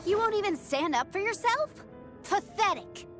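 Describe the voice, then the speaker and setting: A woman voicing a brash, hot-tempered young adventurer in a video game, blunt and quick to scold. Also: extremely high pitch, lively intonation, soft background music. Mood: angry, scornful. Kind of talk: video game cutscene acting.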